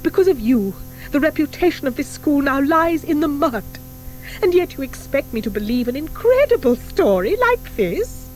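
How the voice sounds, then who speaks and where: A woman's voice: high-pitched, sing-song, moderate pace, darkish tone, faint music behind, voice pushed up, poor audio. A woman voicing an older, weary headmistress witch in an audiobook, with a dramatic, aggrieved, declamatory manner.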